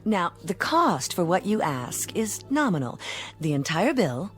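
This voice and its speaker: An actress playing a suave devil posing as a travel agent in 1960s television drama, speaking with poised, formal precision and cool teasing. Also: female voice, fairly low pitch, sing-song, measured pace. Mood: businesslike, coolly amused. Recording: old TV soundtrack with background music.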